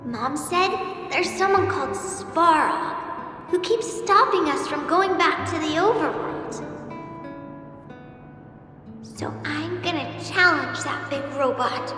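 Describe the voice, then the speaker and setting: An earnest, starry-eyed little girl speaks in a very high, unhurried voice drenched in reverb. She sounds bashful and hopeful, like a game character reading out a heartfelt letter, with music clearly behind her. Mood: earnest, determined. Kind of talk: video game voice acting, reading a letter aloud.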